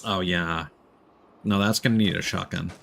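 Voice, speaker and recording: A man's voice: low voice, steady tone, normal speed, creaky, a bit bright, a little hiss or hum. A man doing casual live gameplay commentary as a streamer, musing and thinking aloud. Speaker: game streamer.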